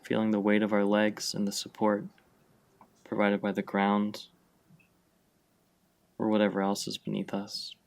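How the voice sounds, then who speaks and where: Low, flat-toned man guiding meditation. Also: creaky. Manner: gentle, unhurried instruction with long pauses. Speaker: meditation guide, mindfulness fellow. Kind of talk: guided meditation, slow and spacious.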